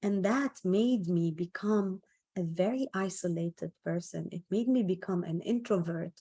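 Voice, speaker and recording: A woman's voice: fairly low pitch, unhurried, dark tone, slightly rough. The woman you would hear leading a self-help session, unhurriedly sharing her own stories and leaning on key phrases so they land. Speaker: motivational speaker. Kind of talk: personal storytelling talk on self-esteem.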